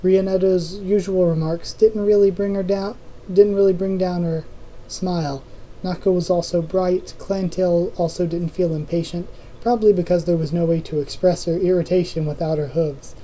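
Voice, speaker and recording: Hoarse reader, very dark mellow tone, casual novel readthrough, restarts and asides. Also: mid-range voice, fairly even intonation, normal speed, a little hiss or hum, poor audio. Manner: informal reading with restarts and self-corrections. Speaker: channel host reading a novel aloud.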